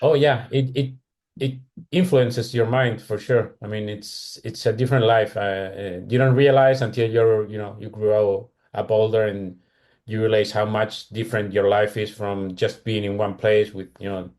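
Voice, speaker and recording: A man's voice: on the low side, fairly even intonation, moderate pace, darkish tone. A man in his forties from Argentina with a Spanish-speaking accent, chatting casually and reflectively as an interview guest. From Argentina, also California and Spain. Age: 40s. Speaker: podcast guest, comic artist.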